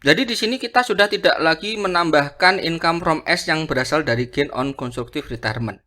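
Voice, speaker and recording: A man's voice: fairly high pitch, fairly quick, sharp tone. A man lecturing through worked calculations, didactic, often asking a question and then answering it himself. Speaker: accounting lecturer.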